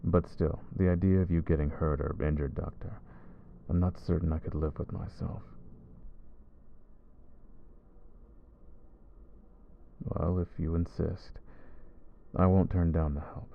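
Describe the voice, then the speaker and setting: A man voicing a brooding male vampire who hunts his own kind, speaking low and measured in an ASMR roleplay. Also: vocal fry, phone-quality sound. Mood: resolute.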